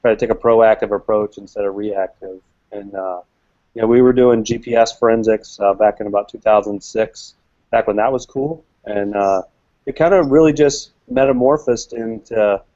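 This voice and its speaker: A man answering interview questions as a guest expert, relaxed and full of fillers. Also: on the low side, moderate pace. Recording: dull lo-fi webcast audio.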